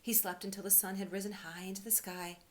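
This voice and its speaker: A woman giving an expressive read-aloud for children as a storyteller and teacher. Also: mid-range voice, quick pace, a little hiss or hum.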